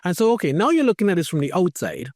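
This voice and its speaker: A man's voice: high-pitched, very animated, fast, darkish tone, dry recording, studio quality. A man from Trinidad hosting a podcast interview, speaking thoughtfully with hesitant restarts. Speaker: podcast host. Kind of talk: conversational interview.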